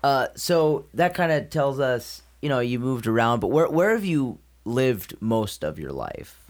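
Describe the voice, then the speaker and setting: A man with an American accent, hosting a casual interview and asking his questions in a halting, hesitant way. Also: mid-range voice, big pitch swings. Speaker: interviewer, host.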